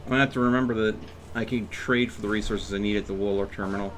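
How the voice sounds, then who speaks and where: A man's voice: on the low side, fairly even intonation, fast talker, fairly bright tone, a little hiss or hum. A man livestreaming a video game in casual chat, rambling and thinking aloud as he plays. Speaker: live streamer playing a video game.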